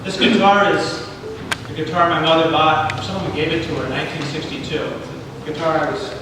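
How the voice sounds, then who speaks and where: A middle-aged man from Cuba, a native Spanish speaker, giving a sermon-like address in an earnest, deliberate way with pauses between phrases. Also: on the high side, steady tone. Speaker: guest speaker leading a congregational service. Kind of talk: sermon-like public address with readings. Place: large reverberant indoor hall, speaking to a congregation. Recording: very echoey hall, distant mic, light noise.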